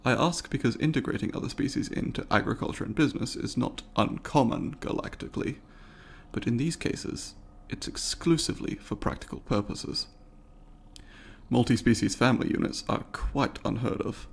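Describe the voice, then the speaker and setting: A man voicing a curious, courteous alien researcher in an ASMR roleplay, speaking formally and deliberately, with academic wording and a gravelly voice. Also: medium pitch, slight background noise, natural voice. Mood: inquisitive, formal. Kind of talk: ASMR roleplay, scripted monologue to the listener.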